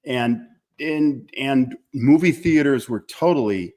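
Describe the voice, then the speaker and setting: A man from small-town Minnesota with an American accent, recalling his life as an interview guest in a reflective, halting way. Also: medium pitch, darkish tone. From small-town Minnesota, United States. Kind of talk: conversational personal recollection.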